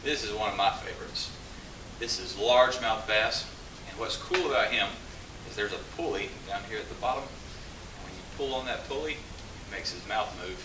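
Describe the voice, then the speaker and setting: A man's voice: lowish voice, moderate pace. A man working as a puppeteer, informally presenting and explaining to an audience. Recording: roomy, distant mic with hiss and noise.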